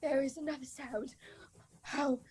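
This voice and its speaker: An actress in a radio play voicing a fragile, eerie young woman speaking slowly from a trance, her breathy voice drifting toward whispers. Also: sits high, fairly even intonation, a little mellow. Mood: fearful trance.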